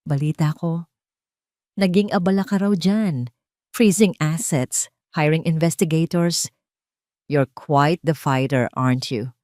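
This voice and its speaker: A woman voicing a smug, menacing mistress in an audio drama, taunting in a sweet, mock-gentle way. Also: sits low, a little slow, pauses often, dry recording, studio quality. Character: smug, menacing mistress making veiled threats.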